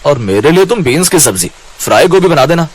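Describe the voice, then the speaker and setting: A hungry, demanding relative in a cartoon family tale. He speaks very quickly in a somewhat high male voice. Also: sing-song, husky. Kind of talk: Hindi moral-story cartoon voice acting.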